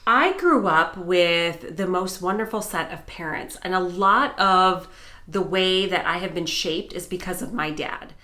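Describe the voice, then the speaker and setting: A woman's voice: fairly low pitch, measured pace. An American woman in middle age, talking earnestly and enthusiastically to camera in a personal vlog. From United States. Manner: earnest and enthusiastic, warm storytelling. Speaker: vlogger and news anchor.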